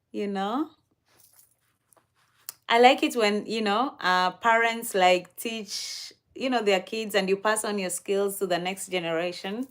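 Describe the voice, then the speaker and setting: A Kenyan woman hosting a livestream, chatting casually and answering viewer comments in an unhurried, chatty way. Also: medium pitch. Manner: unhurried, chatty, with fillers and soft laughs. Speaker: livestream host.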